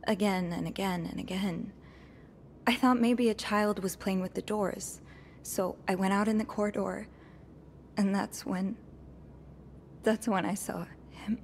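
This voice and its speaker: A young woman playing an earnest, nervous hotel maid in a video game, speaking haltingly. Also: medium pitch, steady tone, slight background noise, natural voice. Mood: nervous, guarded. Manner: hesitant, halting. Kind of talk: naturalistic video game acting.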